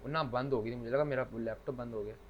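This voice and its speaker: A man's voice: medium pitch, fairly even intonation, quick pace. A man co-hosting a podcast, chatting casually and reciting bits of verse over an online call. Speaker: podcast co-host. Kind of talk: casual chat, reciting couplets. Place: remote internet call. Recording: dull lo-fi online call, faint noise.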